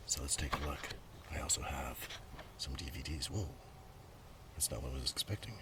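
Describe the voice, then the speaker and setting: A man speaking in a hushed ASMR style as he presents items to the viewer, often slipping into whispers. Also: very deep voice, normal speed. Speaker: ASMR video host. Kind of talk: ASMR, soft-spoken show-and-tell with some read-out text. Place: outdoors. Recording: distant mic, loud outdoor background noise. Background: breeze and outdoor ambience.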